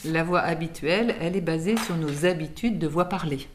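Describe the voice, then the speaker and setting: A woman's voice: low voice, normal speed, a little hiss or hum. A woman from France, a singing teacher and author, explaining patiently in an interview with everyday examples and light laughs.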